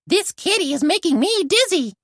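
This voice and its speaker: A woman voicing excitable, eager young cartoon rescue puppies in a storybook, with cute exclamations. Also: very high-pitched, normal speed, no room sound, studio quality, pitched above the performer's own voice, full character voice. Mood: flustered. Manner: exclaiming, baby-talk catchphrases.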